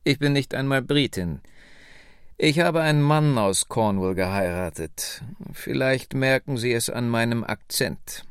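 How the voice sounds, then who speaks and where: A man reading the lines of a wry, nearly hundred-year-old woman telling her family's story, slowly and slyly. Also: mid-range voice, animated, smooth, no echo, studio quality. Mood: reminiscing. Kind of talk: radio book reading.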